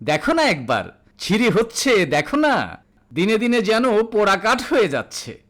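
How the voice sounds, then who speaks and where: A man puts on a spiteful mother-in-law for an audio story. The voice is pitched high. She sneers with sarcasm and open scorn. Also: wildly swooping pitch, normal speed, fairly bright tone, exaggerated voice. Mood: scornful.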